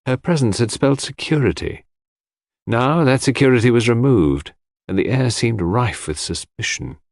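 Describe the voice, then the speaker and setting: A man's voice: medium pitch, expressive, normal speed, vocal fry, no echo, clean studio sound. A man reading scripted first-person literary narration as an audiobook narrator.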